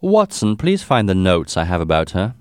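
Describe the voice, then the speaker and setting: A man voicing a brilliant, brisk English gentleman detective in an audiobook, commanding, precise and confident. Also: low voice, huge pitch swings, clear, resonant tone, no echo, clean studio sound. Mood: calm, commanding. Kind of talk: audiobook character dialogue.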